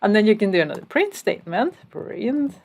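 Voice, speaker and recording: A woman's voice: mid-range voice, sing-song, brisk, vocal fry, darkish tone. A woman from Spain giving a casual step-by-step coding tutorial as she types. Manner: explains step by step while typing, casual asides. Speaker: programming instructor.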